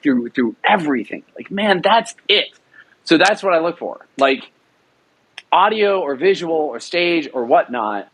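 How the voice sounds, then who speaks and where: An earnest man speaking in a relaxed interview, pressing his points with emphasis. He restarts phrases and repeats words as he works out his ideas, his pitch sitting in an ordinary middle range. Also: slightly rough, low-quality recording. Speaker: audio drama producer. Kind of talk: passionate conversational interview.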